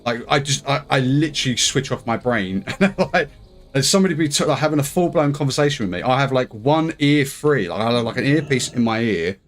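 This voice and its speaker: A man's voice: medium pitch, lively intonation, brisk. A man streaming a game and chatting casually, laughing often and jumping between thoughts. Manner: chatty, laughs easily, scattered. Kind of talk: casual chat on a live stream.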